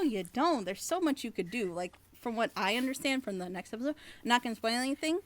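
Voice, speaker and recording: A woman with a somewhat high voice talks through a critique among friends. She is blunt and emphatic, often slipping into acted-out reactions to make her point. The delivery is conversational and unscripted. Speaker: reviewer giving feedback on an audio drama. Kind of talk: casual critique in a group chat.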